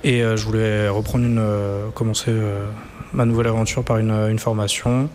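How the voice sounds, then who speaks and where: A man from France, a chocolatier, answering as a guest in a casual interview, steady and hesitant. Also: fairly low pitch, normal speed, big-room sound, slight background noise.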